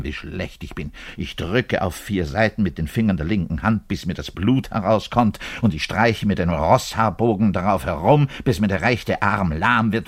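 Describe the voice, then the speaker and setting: An actor playing a neurotic, frustrated middle-aged double bassist who bares his self-loathing in a radio-play monologue. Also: no room sound, clean studio sound. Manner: volatile, swinging between muttered confession and loud outbursts. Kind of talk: dramatic monologue radio play, confiding to the listener.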